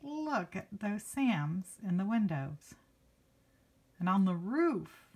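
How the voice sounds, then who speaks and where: A woman's voice: mid-range voice, big pitch swings, takes it slowly, a little mellow, slightly rough. A woman reading a picture book aloud to children like a teacher, expressively stretching key words and adding casual asides. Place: indoors, book held before a handheld camera.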